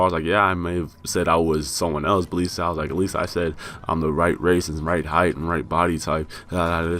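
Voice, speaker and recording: Deep, fast-talking young American man. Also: fairly bright tone, a little hiss or hum. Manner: rambling, profane, self-mocking with laughs. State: raspy from being high. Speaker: vlogger. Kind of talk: casual storytelling.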